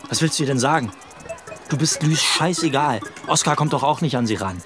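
A man's voice: medium pitch, expressive, brisk, over music, natural voice. A man playing a scornful, blunt and dismissive young guy in a radio drama. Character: scornful, relaxed young guy mocking a friend.

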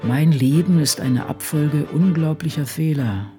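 A woman's voice: low voice, unhurried. An older woman playing an ageing, world-weary writer confiding dryly and introspectively in her diary in a radio play. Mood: troubled. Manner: brooding, dry, introspective. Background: soft music.

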